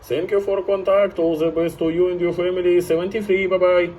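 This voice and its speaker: Halting man. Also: sits high, little pitch movement, warm tone, a little hiss or hum. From France. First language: French. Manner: hesitant, halting English with many fillers. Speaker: amateur radio operator. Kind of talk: ham radio contact exchange. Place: home station by a balcony antenna.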